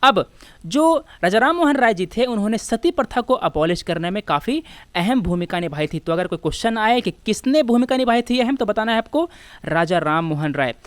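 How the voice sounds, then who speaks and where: A woman's voice: mid-range voice, expressive, normal speed, no echo. A woman with an Indian accent teaching an exam prep lesson, switching between Hindi and English and often asking questions that she answers herself. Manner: didactic, asks and answers own questions. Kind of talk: instructional lecture walking through exam questions.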